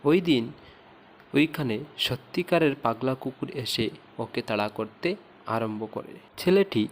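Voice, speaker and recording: Slow-paced male cartoon storyteller. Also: mid-range voice, animated, slight background noise. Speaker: storyteller, narrator. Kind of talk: scripted moral-tale narration for a cartoon.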